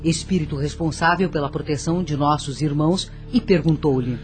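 Female narrator for a radio soap, low register, storytelling over soft music. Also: normal speed. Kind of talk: scripted radio drama narration.

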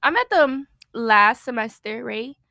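A woman's voice: a little high, animated, a little slow, vocal fry, sharp tone, no room sound, clean studio sound. A woman in her twenties, co-hosting a podcast in a casual, bubbly chat, a little nervous and chatty. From United States. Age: 20s. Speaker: podcast co-host.

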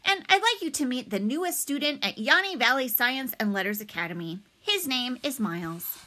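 A woman's voice: high-pitched, lively intonation, moderate pace, bright, forceful tone, voice pushed up. A woman voicing an eager, helpful, slightly nerdy schoolboy in a children's storybook, earnest and explanatory.

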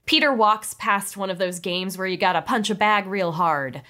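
A woman's voice: mid-range voice, normal speed, bright, forceful tone. A woman narrating a comedy script table read playfully, with casual, amused asides.

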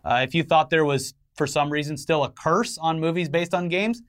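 A man's voice: a little high, moderate pace, clean tone. A man co-hosting an entertainment news show, giving conversational commentary with wry, joking asides.

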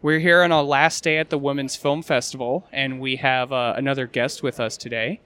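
A man's voice: medium pitch, a little fast, clear, resonant tone. Guy hosting a movie podcast, laid back, lots of uh and kinda. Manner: relaxed, loose questions with fillers. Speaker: podcast host. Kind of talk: casual interview.

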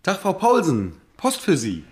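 A man's voice: sits high, huge pitch swings, measured pace, background noise, exaggerated voice. A man playing a chatty, nosy mail carrier in an amateur school radio play.

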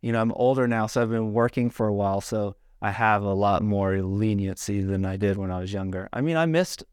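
A man's voice: lowish voice, a little fast, darkish tone, smooth, no room sound, studio quality. A middle-aged man talking casually, rambling with lots of "like" and "you know.". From South Carolina, born in Hawaii. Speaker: podcast guest, surfboard shaper. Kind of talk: casual conversation.

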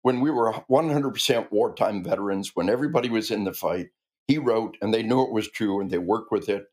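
Man, 60s, from the Midwest, lower voice, emphatic, conversational guest. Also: brisk, darkish tone. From American Midwest. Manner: earnest and emphatic, with frequent restarts. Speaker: guest, retired army officer and author. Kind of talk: conversational interview.

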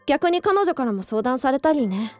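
Earnest high-voiced young woman. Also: very animated, normal speed, soft background music. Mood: wistful. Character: earnest young woman, hiding love for her childhood friend. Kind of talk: Japanese radio drama acting.

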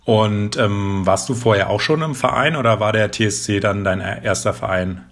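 A man hosting a podcast, reading a calm scripted intro and then asking interview questions. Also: on the low side, moderate pace.

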